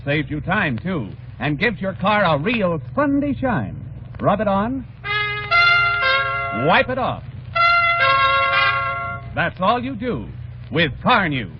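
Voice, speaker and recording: American ad man from old radio, really animated, highish voice, muffled with slight hiss. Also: slow, pauses often, loud music behind the voice. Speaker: commercial announcer. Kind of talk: 1940s radio advertising read. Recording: dull, faintly noisy old radio.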